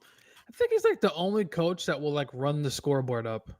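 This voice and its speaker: A man's voice: on the high side, huge pitch swings, moderate pace, darkish tone. A man co-hosting a casual football picks show, loose and filler-heavy, laughing along. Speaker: sports picks co-host. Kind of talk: casual sports betting chat.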